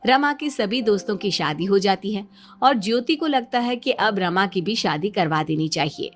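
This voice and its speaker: A woman's voice: medium pitch, lively intonation, normal speed, faint music behind. A woman narrating a scripted story as the storyteller.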